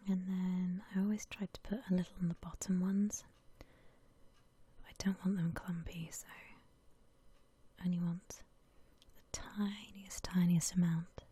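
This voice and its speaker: Woman, ASMR whisper, extremely breathy, mellow, gentle, unhurried, casual chat. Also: lowish voice, little pitch movement. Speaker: ASMR video creator.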